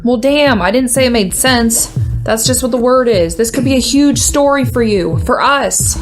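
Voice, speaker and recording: A woman's voice: on the high side, moderate pace, soft background music, lightly acted. A woman playing a blunt, sensible, exasperated radio news colleague in an audio comedy. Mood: urgent.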